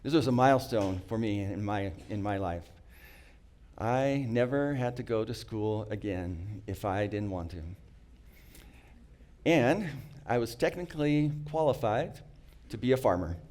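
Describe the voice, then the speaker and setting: A middle-aged man, not a native English speaker, telling a prepared personal story in an earnest, reflective way, with small restarts and frequent throat-clearing. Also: fairly low pitch, expressive, a little slow, darkish tone.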